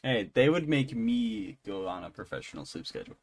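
A man's voice: lowish voice, big pitch swings, a little fast. A man co-hosting a podcast in a casual, bantering chat style. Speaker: podcast co-host.

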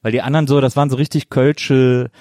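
Man, interviewer, medium pitch, dry room-free sound, casual, halting roundabout phrasing. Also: fairly even intonation, a little mellow, no room sound. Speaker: interviewer, host.

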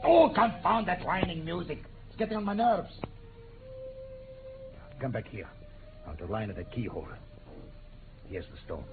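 An actor playing an irritable, arrogant, scheming count, curt and domineering, in a 1950s radio drama. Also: male voice, on the high side, big pitch swings, moderate pace, long pauses, narrow, tinny audio. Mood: tense, annoyed. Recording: thin 1950s radio recording, music behind.

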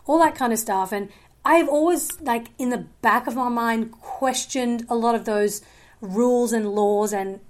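A woman's voice: fairly high pitch, unhurried. Earnest, rambling woman. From Australia. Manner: earnest, rambling with many fillers. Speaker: guest filmmaker. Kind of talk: podcast interview.

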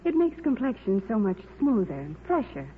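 A woman's voice: a little high, big pitch swings, normal speed. A young woman with an American accent giving a scripted endorsement as a guest starlet on 1950s radio. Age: young adult. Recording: tinny noisy 1950s radio recording.

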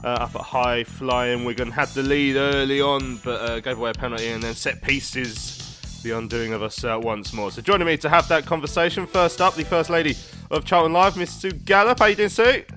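A man's voice: mid-range voice, a little fast, pushed and bright, soft background music. Chatty man hosting a fan podcast. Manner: chatty, rambling with frequent fillers. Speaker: podcast host. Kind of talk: casual fan podcast chat.

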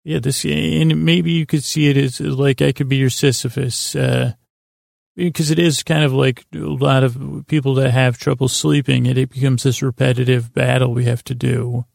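A man with a creaky, gravelly voice, rambling gently and hesitantly as the friendly, goofy host of a bedtime podcast. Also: medium pitch, level tone, moderate pace, no echo, studio quality. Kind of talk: rambling, meandering bedtime monologue.

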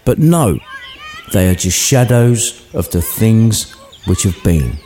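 Man acting mellow childlike Christmas ghost. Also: on the low side, very animated, takes it slowly, a little hiss or hum. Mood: solemn. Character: childlike male Christmas ghost, calm, sassy, knowing guide. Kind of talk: irreverent storybook retelling.

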